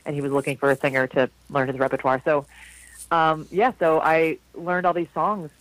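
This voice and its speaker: A man in his forties from South Florida, a jazz singer with a low, slightly husky voice, telling rambling stories in a casual interview with frequent restarts. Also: little pitch movement, normal speed, no echo, rough recording. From South Florida, USA. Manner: rambling, warm anecdotes with frequent restarts and laughs.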